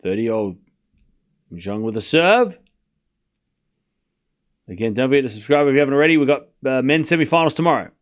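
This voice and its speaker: A man's voice: mid-range voice, expressive, a little fast, pauses often. A man with an Australian accent, giving casual live tennis commentary and teasing his chat. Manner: teasing, boastful, talks straight to chat.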